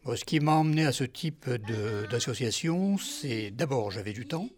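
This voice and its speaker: A man's voice: mid-range voice, lively intonation, moderate pace. A man of sixty-seven from Algeria giving a personal testimony as a language student, searching for his words. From born in Algeria.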